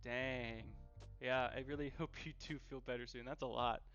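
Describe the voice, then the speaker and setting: A man with a mid-pitched voice chats casually as he hosts a cooking stream from his home kitchen. He is easygoing and tends to repeat himself for emphasis. The sound is dull and lo-fi, with music clearly playing along. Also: fairly even intonation, normal speed, a bit bright. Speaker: host of a live cooking stream. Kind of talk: casual live-stream chat while cooking. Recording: dull, lo-fi livestream audio.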